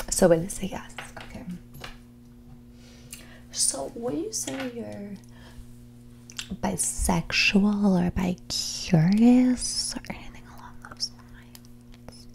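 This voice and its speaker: Woman acting out a creaky, whispering rich mean girl. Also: medium pitch. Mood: judgmental, dismissive. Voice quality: breathy whispers mixed with soft creaky speech. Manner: condescending, drawling, catty, blunt questioning. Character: mean, snobbish rich young woman on a date. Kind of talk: ASMR roleplay, whispered and soft-spoken.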